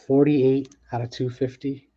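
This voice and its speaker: A man's voice: medium pitch, flat delivery, a little slow, dark tone, dull audio. A man hosting a sports card break, talking casually and thinking aloud as he opens packs. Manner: chatty, offhand, thinks aloud with restarts. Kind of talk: casual live commentary while opening packs.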